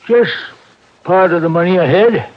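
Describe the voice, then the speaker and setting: Cagey old rural pensioner for vintage radio crime drama: high voice, self-justifying, folksy, muffled old recording. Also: male voice, lively intonation, normal speed. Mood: defensive. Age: older. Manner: rustic, ungrammatical, evasive. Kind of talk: 1950s radio drama acting.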